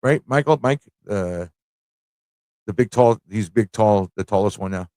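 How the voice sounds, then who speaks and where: A man's voice: on the low side, expressive, unhurried, a little mellow, dry recording, studio quality. A man hosting a livestream fan chat, talking in a rambling, informal way with frequent rhetorical tag questions. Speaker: livestream talk show host.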